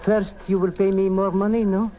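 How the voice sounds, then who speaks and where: An actor playing a sly, greedy underworld cafe owner with a foreign accent and a wheedling, theatrical manner in an old-time radio drama. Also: male voice, sits high, flat delivery, moderate pace, dull audio, lightly acted. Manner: wheedling, theatrical, ends demands with "no?". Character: sly, greedy underworld cafe proprietor, a charming male scoundrel. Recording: noisy old radio recording.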